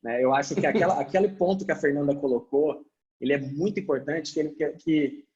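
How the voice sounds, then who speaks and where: A man's voice: fairly high pitch, brisk, a little mellow, lo-fi sound. A man speaking as a panelist over a video call, explaining animatedly with frequent fillers. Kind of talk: webinar panel discussion.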